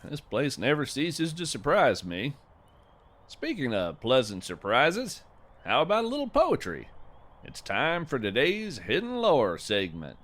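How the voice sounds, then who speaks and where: Slow, lyrical naturalist played by a man, lively pitch. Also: full character voice. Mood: lyrical wonder. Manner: deliberate, lyrical, earnest delivery. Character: wonder-struck male naturalist hosting a field podcast, gentle and folksy. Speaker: podcast host and narrator. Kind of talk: scripted narration with poetry reading.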